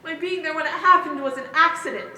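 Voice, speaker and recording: High bright female voice, an actress as a haunted young woman in a stage play, echoey hall. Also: fast talker. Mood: distraught. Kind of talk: theatrical stage monologue.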